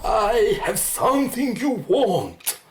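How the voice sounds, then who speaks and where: Radio drama villain, played by a woman: imperious female shapeshifter, slow, formal, grandiose, in an echoey space. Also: on the high side, sing-song, background noise. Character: sinister shapeshifting organism in young woman's form, imperious. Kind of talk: radio play acting.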